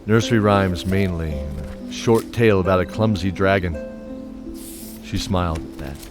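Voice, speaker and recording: A male narrator with a low voice tells a fantasy tale. His scripted delivery is measured, with dramatic pauses. Music plays clearly underneath.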